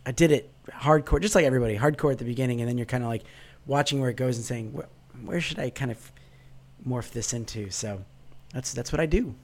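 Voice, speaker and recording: A man talks over a remote call, hoarse and raspy from a cold. He rambles in a relaxed way and slips in dry self-mocking jokes. His pitch sits right in the middle for a man. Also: fairly quick. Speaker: guest, vlogger and musician. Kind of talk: casual interview chat.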